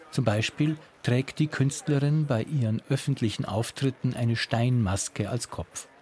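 A man reporting as a culture journalist, reading a scripted radio feature in a measured, descriptive way. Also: mid-range voice, a little mellow, slight background noise.

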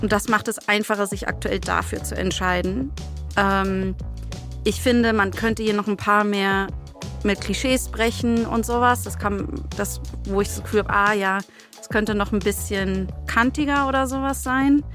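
A woman's voice: medium pitch, fairly even intonation, normal speed, a bit bright. A woman in casual discussion as a podcast co-host, candid and self-ironic. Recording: music behind the talk. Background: music.

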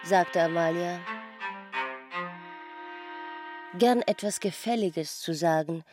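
Slow, deliberate grave village woman. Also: fairly low pitch. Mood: detached, deliberate. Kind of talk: literary radio drama narration.

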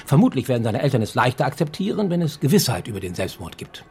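An actor playing a pompous, irritable senior police chief in a radio crime drama, haughty and emphatic. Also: male voice, medium pitch, big pitch swings, quick pace, vocal fry, darkish tone, soft background music. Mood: grudging. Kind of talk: German radio play acting.

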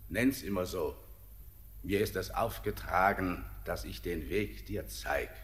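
An actor playing an eerie old spirit who guides a sailor into the mine, speaking slowly and commandingly in a classic radio play. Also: male voice, low-pitched, big-room sound.

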